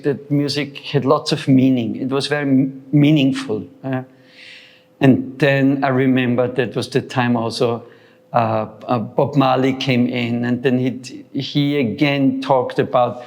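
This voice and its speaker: Man in his sixties, from Austria, reflective, hesitant, restarts often, mid pitch. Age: 60s. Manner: thoughtful, hesitant, many fillers and restarts. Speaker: guest, founder of a sound center. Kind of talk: reflective interview talk.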